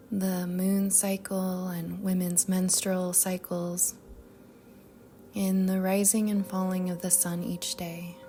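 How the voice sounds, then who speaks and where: A woman guiding a meditation in a soft, whispery-edged voice, speaking gently and soothingly with long pauses. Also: lowish voice, a little hiss or hum. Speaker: yoga nidra meditation guide. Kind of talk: guided meditation narration. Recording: soft music bed behind voice. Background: music.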